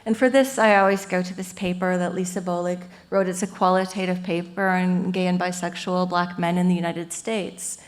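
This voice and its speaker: A woman professor giving an academic lecture at a symposium, lively and earnest, explaining ideas through everyday metaphors. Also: fairly low pitch, moderate pace, few pauses. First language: English. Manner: lively and earnest, with frequent "you know" and "um".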